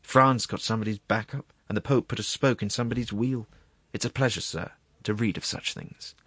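A man's voice: on the low side, fairly even intonation, a little fast, a bit bright, slightly rough, no echo. A man voicing a wheedling, gluttonous court secretary in a storybook reading, savouring his words in a confiding, coaxing way. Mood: dreamy, coaxing.

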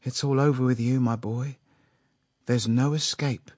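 A man's voice: mid-range voice, fairly even intonation, a little slow, darkish tone, no echo, studio quality, pitched above the performer's own voice, lightly acted. A man playing a self-important writing master and awkward suitor in a story reading. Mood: horrified.

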